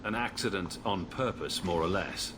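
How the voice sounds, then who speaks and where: Male actor as adventurer hero, video game, deep, terse, dry, echoey, crowd murmur behind. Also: moderate pace, background noise, close to an everyday voice. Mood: wry.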